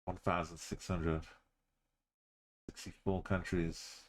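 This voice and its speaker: A man's voice: sits low, little pitch movement, slow pace. A man streaming a game, thinking aloud in short muttered phrases. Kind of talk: commentary while playing a game.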